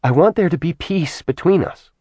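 A man's voice: on the high side, expressive, normal speed, warm tone, breathy edge, no echo, voice pushed up. A man voicing a proud, vain goddess. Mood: defiant. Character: haughty vain goddess, woman, cold and proud.

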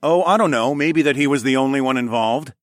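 A man's voice: medium pitch, expressive, fairly quick, fairly bright tone, no room sound, studio quality, pitched above the performer's own voice, natural voice. A man playing a defiant, sardonic male hero who argues and snarls in a fantasy audiobook. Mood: sardonic, firm. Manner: snarling, mocking, argumentative.

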